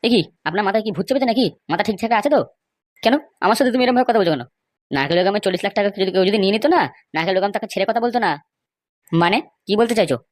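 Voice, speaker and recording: A man's voice: high voice, expressive, racing, dry recording, clean studio sound. A man with a West Bengal accent voicing argumentative, indignant men in an amateur comedy cartoon, scolding and insistent. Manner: scolding, insistent, rhetorical questions.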